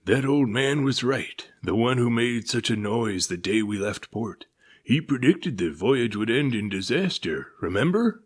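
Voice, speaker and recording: A man's voice: medium pitch, animated, measured pace, husky, pitched above the performer's own voice. A man voicing a blustering, dramatic sailing-ship captain for a children's storybook, with salty, exclaiming sailor talk. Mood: gloomy, worried.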